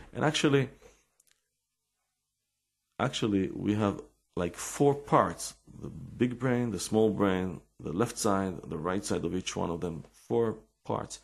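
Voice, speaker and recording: Slow, gravelly Israeli man. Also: deep voice, darkish tone. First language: Hebrew. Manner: halting, many restarts and fillers. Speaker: rabbi telling his life story. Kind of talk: personal storytelling and explanation.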